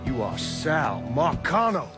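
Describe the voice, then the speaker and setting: Hot-headed, profane American mobster, swooping pitch. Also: male voice, sing-song, takes it slowly. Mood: defiant. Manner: profane, indignant. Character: defiant, hot-headed male mobster, loyal to his boss. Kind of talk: dramatic game cutscene acting. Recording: music under the voice.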